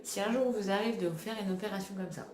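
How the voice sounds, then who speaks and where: A woman's voice: medium pitch, fast talker, darkish tone, reverberant. A young woman from France with a stuffy head cold, chatting casually to her phone camera in a rambling way, full of fillers. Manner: rambling, many fillers and restarts. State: congested with a cold. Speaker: vlogger. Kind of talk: casual vlog talk to camera.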